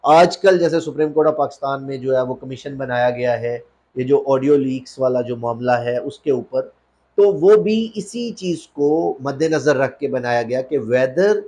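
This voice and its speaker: A man's voice: mid-range voice, expressive, a little slow, mellow. A man with a Pakistani accent giving an explanatory legal lecture as a lawyer, mixing in English terms, in a didactic way that repeats phrases for emphasis.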